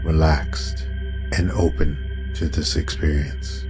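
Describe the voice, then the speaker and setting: A man narrating a guided meditation in a calm, soothing, unhurried way, as a guide would. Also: a bass voice. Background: meditation music with singing bowl tones.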